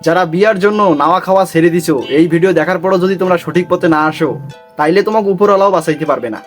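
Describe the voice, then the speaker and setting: A man's voice: high-pitched, racing, soft background music. A man with a Bangladeshi accent delivering teasing, scripted comic commentary on memes as a channel host. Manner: mocking, teasing. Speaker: host of a meme review channel.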